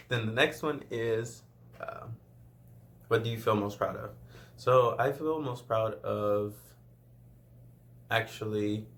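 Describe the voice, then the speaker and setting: Young man from Louisiana, late twenties, low-pitched, chatty and loose, laughing between answers, camera-mic vlog. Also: fairly bright tone. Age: 20s. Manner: chatty, laughs often, rambling asides. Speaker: vlogger. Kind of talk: casual first-video Q&A.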